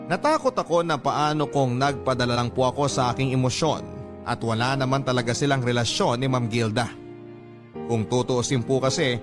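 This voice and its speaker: A man reading a confessional letter as a storyteller, in measured, earnest dramatic narration. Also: medium pitch. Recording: music bed under narration. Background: music.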